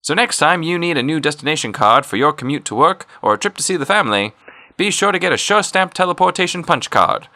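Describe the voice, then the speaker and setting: An actor playing a polished, upbeat announcer, salesmanlike and emphatic in the style of a retro radio serial advert. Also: male voice, a little high, animated, quick pace, pushed and bright. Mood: upbeat, selling. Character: polished, upbeat male announcer pitching a sponsor's product.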